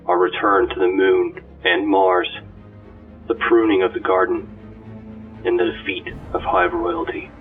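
Man voicing a slow, gravelly, reverent ancient ghost. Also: low voice, big pitch swings, full character voice. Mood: reverent wonder. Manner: reverent, measured, oratorical. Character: awed, ancient ghost companion reflecting proudly on humanity. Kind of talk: dramatic scripted monologue. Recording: distant, phone-like audio under music. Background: music.

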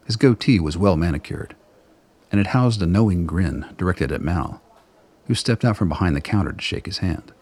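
A man's voice: low-pitched, lively intonation, normal speed, darkish tone, slightly rough. A man reading scripted third-person narration for a radio play.

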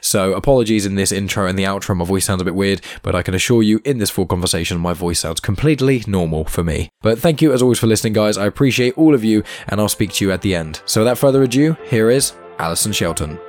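A man in his twenties hosting a podcast interview, chatty and quick to laugh, his voice a little croaky. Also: fairly low pitch, lively intonation, darkish tone, dry recording, soft background music. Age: 20s. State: slightly croaky, losing his voice. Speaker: podcast host. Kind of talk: casual interview chat.

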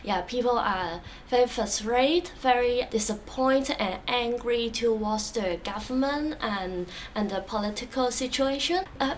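Cantonese-speaking woman, Hong Kong accent, higher voice, halting replies full of fillers, mic a bit far, faint noise behind. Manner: hesitant, frequent uh fillers and restarts. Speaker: interviewee. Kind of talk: casual interview answers.

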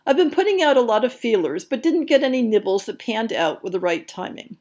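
A woman hosting a podcast, delivering scripted book news in an articulate, measured way with bookish phrasing. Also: fairly high pitch, lively intonation, darkish tone. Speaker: podcast host.

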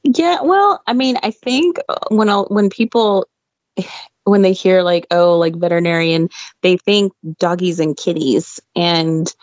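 Lively woman telling stories, dull dry audio. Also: lowish voice, a little slow, dry recording. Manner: energetic, laughs often, jumps into exclaimed asides. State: losing her voice. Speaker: guest, former veterinary intern. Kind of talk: animated personal storytelling.

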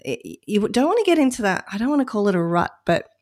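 A woman's voice: medium pitch, sing-song, fast, darkish tone. A woman co-hosting a podcast, chatting casually and reflectively about her volunteer role. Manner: chatty, reflective, self-deprecating. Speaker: podcast co-host, run director.

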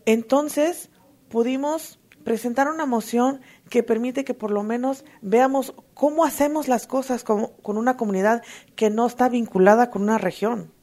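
A woman's voice: on the high side, dark tone. Earnest woman sharing her recovery story. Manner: emotional, earnest, halting with restarts. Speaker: twelve-step fellowship member sharing. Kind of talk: personal testimony at a recovery meeting.

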